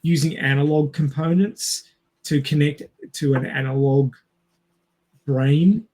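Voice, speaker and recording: A man's voice: a little high, steady tone, slow pace, a little mellow, poor audio. A man in a casual panel discussion, explaining technical things step by step. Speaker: podcast panelist.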